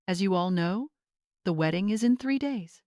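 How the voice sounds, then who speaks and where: A woman's voice: mid-range voice, animated, moderate pace, darkish tone, dry recording, clean studio sound, natural voice. Catty, condescending young bride, read by a woman. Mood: smug, fake sweet. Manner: syrupy mockery turning to cold commands.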